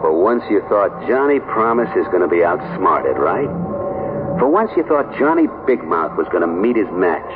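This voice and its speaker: An accusing inner voice in an old radio drama. It is deep, mocking and needling. The sound is tinny and narrow, with prominent music behind it. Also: male voice, sing-song, normal speed. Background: loud music.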